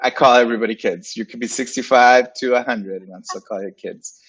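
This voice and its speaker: A man in his forties, a native Spanish speaker of Puerto Rican and Dominican background, telling his career story as an interview guest in a relaxed, playful way. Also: mid-range voice, fairly quick, breathy edge. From Puerto Rico and Dominican Republic. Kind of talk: casual interview. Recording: dull lo-fi sound.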